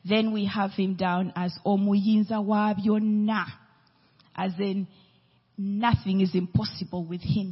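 Slow, breathy woman preaching from Uganda. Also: mid-range voice, long reverb tail, lo-fi sound. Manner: slow, deliberate, lingering over names. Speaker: church speaker. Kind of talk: sermon teaching on prayer.

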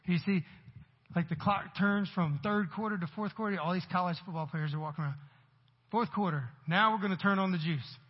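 Male pastor, 40s, husky and high-pitched, conversational sermon with emphatic peaks; long hall reverb, dull recording. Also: a little fast. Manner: conversational preaching with rising emphatic bursts. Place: church sanctuary.

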